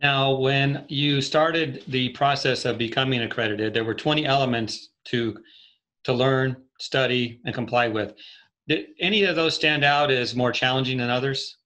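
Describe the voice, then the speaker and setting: Middle aged guy interviewing someone over video call, normal pitch, chatty questions, office setting. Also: normal speed, sharp tone, rough recording. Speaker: interviewer, host. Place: small office, indoors.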